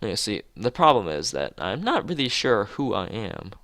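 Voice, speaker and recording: A man's voice: lowish voice, big pitch swings, moderate pace, a bit bright, no echo. A man voicing an aloof, blunt and dreamy teenage girl artist from a visual novel, matter-of-fact, with music behind. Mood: detached, blunt.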